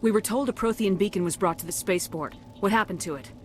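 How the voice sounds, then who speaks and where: An actress playing a firm space soldier in a video game, speaking directly and with authority. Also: female voice, medium pitch, fast talker, soft background music, natural voice. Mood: firm, questioning. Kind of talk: dramatic video game acting.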